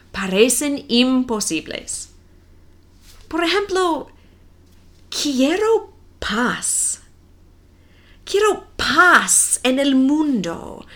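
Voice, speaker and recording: A woman in her forties with a non-native accent, reading a simple story slowly and carefully for language learners. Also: high-pitched, a bit bright. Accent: non-native Spanish accent. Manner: careful, deliberate reading with clear pauses. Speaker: Spanish teacher.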